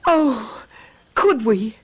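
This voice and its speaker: An actress playing an anxious housewife in a radio drama, fretful and hesitant but insistent. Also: female voice, fairly high pitch, lively intonation, drawn-out words, darkish tone, slightly rough, heavily acted. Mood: eager, flustered. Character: anxious housewife troubled by a feeling about missing friends.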